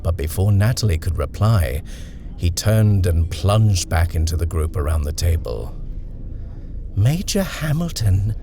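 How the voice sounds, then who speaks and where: A man narrating a horror short story in a measured, expressive reading style. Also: deep voice, noisy background.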